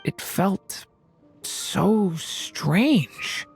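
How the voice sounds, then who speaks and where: A man voicing a gentle young dragon boy in human form for an anime game, soft, youthful, hesitant and earnest. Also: sits high, lively intonation, extremely slow, vocal fry, soft background music, heavily acted. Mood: shaken, earnest.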